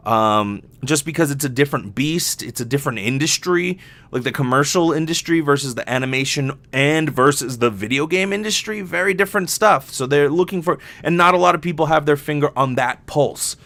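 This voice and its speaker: A man's voice: on the high side, brisk, fairly bright tone. A young adult man talking casually and candidly as a solo podcast host, rambling and swearing freely.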